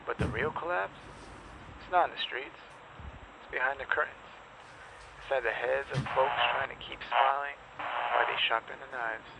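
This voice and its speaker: Gravelly, jaded apocalypse radio host, played by a man. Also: mid-range voice, normal speed, long pauses, lightly acted. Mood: bitter, sardonic. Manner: sardonic, world-weary, folksy. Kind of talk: dramatic scripted monologue as late-night radio host. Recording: dull, noisy lo-fi radio broadcast.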